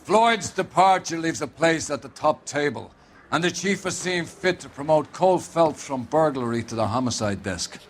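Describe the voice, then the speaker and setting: A man plays a police captain from a detective video game, with a slightly high male voice. He is brisk and authoritative, declaiming formally to his squad. There is faint noise behind him. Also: lively intonation, natural voice. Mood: commanding. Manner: commanding, declamatory. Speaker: police captain giving a briefing. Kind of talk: dramatic video game acting, formal briefing.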